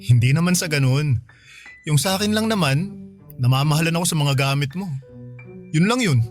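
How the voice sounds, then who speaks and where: A man's voice: on the high side, lively intonation, fairly quick, soft background music, natural voice. A man acting an earnest, thrifty, sweet-talking young suitor in a Filipino radio romance drama, with a Filipino accent.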